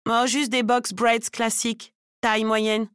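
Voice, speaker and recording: A woman's voice: a little high, little pitch movement, measured pace, fairly bright tone, clear, resonant tone, dry recording, studio quality. A woman voicing a frank, casual, opinionated woman from South Africa, a salon customer in an audiobook. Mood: polite.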